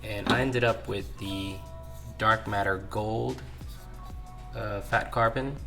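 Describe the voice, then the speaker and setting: A man recovering from an illness, his voice still hoarse, hosting a casual vlog from his garage workbench and talking through new knives with easy fillers. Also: lowish voice, slow pace, soft background music. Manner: relaxed, talks through items with fillers. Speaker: channel host, knife hobbyist. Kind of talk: casual vlog show-and-tell.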